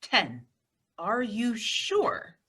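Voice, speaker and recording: A woman's voice: on the high side, huge pitch swings, very slow, fairly bright tone, slightly rough, heavily acted. A woman playing a sensible, exasperated roommate with a dry, scolding manner in an old-time radio comedy. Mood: doubtful.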